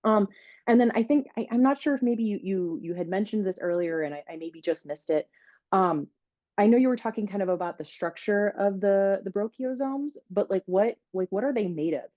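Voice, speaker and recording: A woman's voice: medium pitch, moderate pace, dark tone, dry recording. A woman moderating a virtual student Q&A over a video call, casual and hedging as she asks questions. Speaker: event moderator. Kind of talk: hosting a virtual Q&A. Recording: dry, muffled video call audio.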